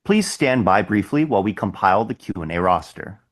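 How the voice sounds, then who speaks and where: A man's voice: on the low side, animated, brisk. A man working as a conference call operator, giving scripted instructions and introductions.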